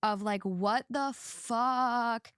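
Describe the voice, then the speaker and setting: A woman's voice: on the high side, very slow, fairly bright tone, clear, resonant tone, dry recording. Outspoken woman podcaster, close dry sound. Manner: emphatic, outspoken, swears. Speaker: podcast host. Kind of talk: casual opinionated podcast chat.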